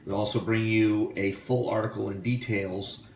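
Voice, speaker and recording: An American man with a fairly low voice hosts a casual radio show from an echoey room. He sits back from the mic and rambles hesitantly, dropping dry asides. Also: steady tone, moderate pace, darkish tone. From United States (Florida). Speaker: radio show host and tribute musician. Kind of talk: casual radio show talk, reading trivia. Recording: roomy, distant mic with faint background noise.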